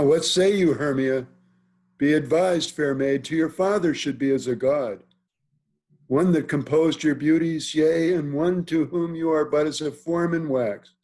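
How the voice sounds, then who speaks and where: A man playing an authoritative duke, reading Shakespearean verse in a formal, deliberate manner. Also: fairly high pitch, darkish tone. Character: authoritative, measured duke counseling a young maid. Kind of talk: Shakespearean verse table read. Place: remote video call reading. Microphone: video call.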